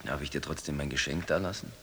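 A sardonic, wounded man in a radio drama. He talks fast and very low, with pointed, articulate delivery. There is noise behind him. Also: fairly bright tone. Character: wounded, sardonic traveller, chess-loving man. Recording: 1990s radio drama, noisy background.

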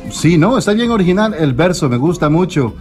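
A man's voice: high voice, sing-song, a little fast, a little mellow, soft background music. A man hosting a casual radio interview, effusive but hesitant, often ending on tag questions. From born in El Salvador, raised in Canada from early childhood.

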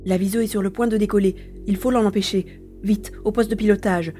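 A woman's voice: medium pitch, fairly even intonation, fairly quick, dark tone, soft background music, close to an everyday voice. A woman playing a plucky, irritable adolescent boy in a science-fiction audio drama. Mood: tense, urgent.

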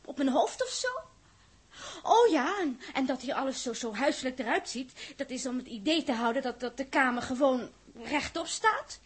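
A woman's voice: sits high, lively intonation, moderate pace, breathy edge. A woman playing a naive, chattering teenage schoolgirl stowaway in a 1960s Dutch radio drama, breathless and stammering, with a coquettish way of talking. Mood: eager.

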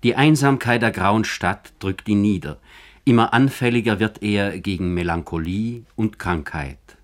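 A man narrating a scripted biographical radio feature in German in a formal, measured manner. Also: on the low side.

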